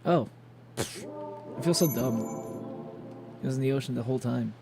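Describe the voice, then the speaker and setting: A man livestreaming a video game, chatting casually and haltingly with viewers, with informal, sweary speech. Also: mid-range voice, vocal fry, darkish tone. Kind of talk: casual chat with stream viewers. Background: game music.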